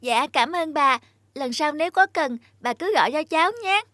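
A woman's voice: high voice, lively intonation, normal speed, fairly bright tone, no room sound, studio quality. A woman voicing an eager, polite, hardworking young boy in a cartoon, with an earnest manner. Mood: polite delight.

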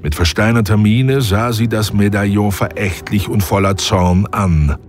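A man narrating a science fiction audio drama in a measured, scripted way. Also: lowish voice.

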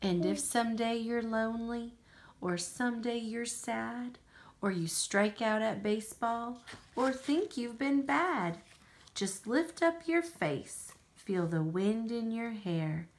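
Slow-paced American woman reading a picture book. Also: on the high side, lively intonation. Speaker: parent reading aloud to a young child. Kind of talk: picture book read-aloud.

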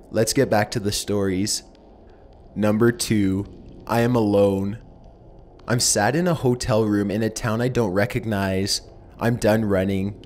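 A man narrating horror stories in a measured, somber, deliberate manner as the host of his channel. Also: lowish voice, darkish tone, slight background noise. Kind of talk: scripted horror story narration.

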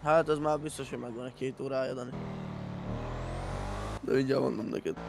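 Gravelly male gamer in loud noise. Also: mid-range voice, steady tone. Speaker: fellow player in roleplay game. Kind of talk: casual slangy banter. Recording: loud vehicle and game noise.

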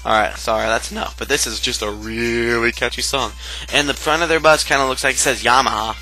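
A man's voice: mid-range voice, fairly even intonation, brisk, pushed and bright, faint music behind. A man giving casual, joking commentary over a video game, with a playful, silly manner. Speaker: gameplay commentator.

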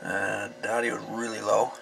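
A man casually presenting a hands-on electronics demonstration, unscripted and hesitant, with frequent pauses. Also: lowish voice, little pitch movement, gravelly. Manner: hesitant, unscripted, pausing often. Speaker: hobbyist presenter. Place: small cluttered home workshop. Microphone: camera microphone on the workbench. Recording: camera audio with audible background noise.

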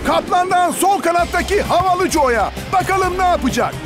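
A man's voice: very high voice, animated, moderate pace, over music. A man playing an enthusiastic football co-commentator in a cartoon, calling the action with animation. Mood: excited. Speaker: sports co-commentator.

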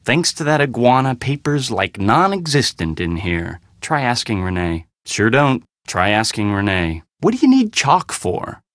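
An actor voicing a guarded, weary young heir in a video game, with a casual, sarcastic, slightly awkward manner. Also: male voice, mid-range voice, sing-song, measured pace, few pauses, a bit bright, no room sound, studio quality. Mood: sardonic, guarded. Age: young adult. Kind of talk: video game dialogue acting.

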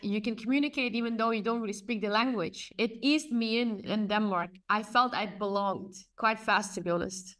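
A woman's voice: medium pitch, normal speed, few pauses, fairly bright tone, distant mic. A woman in her thirties from Afghanistan, a guest answering on a video call from a room at home, telling her story earnestly. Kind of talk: conversational interview answers.

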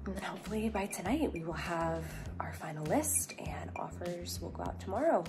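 A woman's voice: on the low side, expressive, a little fast, heavy background noise. A young adult woman chatting casually in a vlog, giving updates as a producer indoors at home. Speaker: audio drama writer and producer. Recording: background music, roomy echo.

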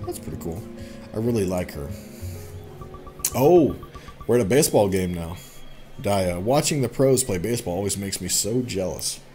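A man's voice: lowish voice, expressive, moderate pace, pauses often, warm tone. A man in his thirties doing let's play commentary, reading game text aloud and chattily reacting as he goes. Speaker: gaming let's play host. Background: game music.

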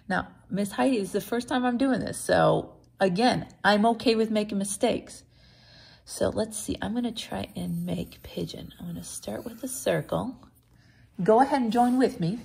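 An American woman talks to young children as their teacher. Her voice is husky with some creak, and she goes slowly, adding encouraging, chatty asides as she reads and demonstrates. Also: medium pitch. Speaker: teacher reading to a kindergarten class. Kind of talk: read-aloud and drawing lesson for children.

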